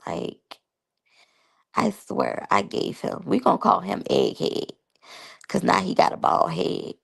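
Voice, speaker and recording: Gravelly, slow-talking woman in her thirties. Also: medium pitch, wildly swooping pitch. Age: 30s. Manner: candid, chatty, talks straight to viewers. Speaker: YouTube reality TV reviewer. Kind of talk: casual review and personal storytelling.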